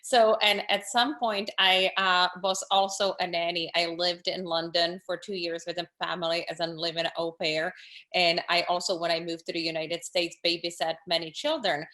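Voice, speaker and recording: Bright-voiced woman from the Czech Republic. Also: on the low side. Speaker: car seat safety instructor. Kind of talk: casual presentation opener. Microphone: video call.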